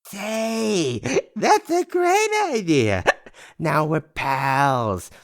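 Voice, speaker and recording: A man's voice: a little high, very animated, very slow, heavily acted. A man playing a chummy, cocky drunk brother in an audio drama, with a drawling, slurred swagger. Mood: drunken glee.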